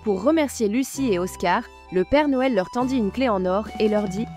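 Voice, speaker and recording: A woman narrating a children's Christmas story in a gentle storytelling manner. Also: medium pitch, normal speed. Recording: music bed behind narration.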